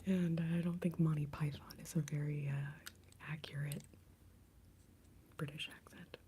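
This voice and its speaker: An American woman in her late thirties whispering in a breathy voice, chatting casually and playfully as an ASMR live streamer. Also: deep voice, steady tone, a little slow, background noise. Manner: playful, self-deprecating. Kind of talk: whispered ASMR, casual rambling chat with viewers.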